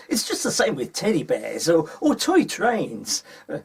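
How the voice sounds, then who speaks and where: A man with a husky voice reading a children's story aloud as a storyteller. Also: a little high, wildly swooping pitch, slow pace.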